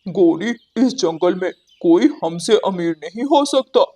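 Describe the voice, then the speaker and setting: A man's voice: high voice, lively intonation, measured pace, dark tone. A man voicing an arrogant, boastful male crow in a cartoon story. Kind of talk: Hindi animated moral-story dialogue.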